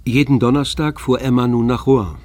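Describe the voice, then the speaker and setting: A man narrating a literary radio play in a composed, measured manner. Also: medium pitch, fairly even intonation, a little mellow, slight background noise, close to an everyday voice.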